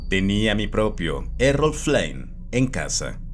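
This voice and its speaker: A man calmly reading a scripted first-person horror story, steady and measured. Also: lowish voice. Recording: soft background music.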